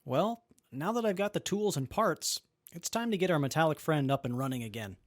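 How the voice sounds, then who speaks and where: A man playing a capable, good-humoured young wasteland mechanic in an audio drama, measured and matter-of-fact. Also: on the high side, lively intonation, close to an everyday voice. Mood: calm, friendly. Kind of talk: post-apocalyptic audio drama acting.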